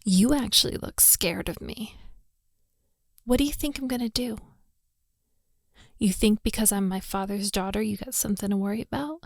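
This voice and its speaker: A woman's voice: mid-range voice, moderate pace, long pauses, no room sound, clean studio sound. A woman playing a hot-tempered, lovestruck young daughter of a mafia boss in a girlfriend roleplay audio drama, exasperated and scolding. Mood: sarcastic, menacing. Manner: scolding, exasperated, with sighs and breathy asides.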